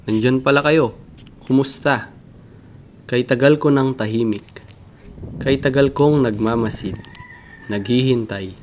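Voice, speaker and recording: A man playing a misunderstood old philosopher in a grave, deliberate, oratorical dramatic monologue. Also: mid-range voice, slight background noise, lightly acted. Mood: brooding, reflective. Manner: oratorical, deliberate, rhetorical questioning. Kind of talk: theatrical dramatic monologue in Filipino.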